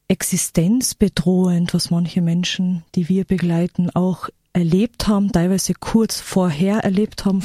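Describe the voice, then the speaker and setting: Warm-toned woman explaining earnestly. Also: fairly low pitch, slightly rough, no room sound, clean studio sound. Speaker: guest, support worker. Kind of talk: radio talk guest.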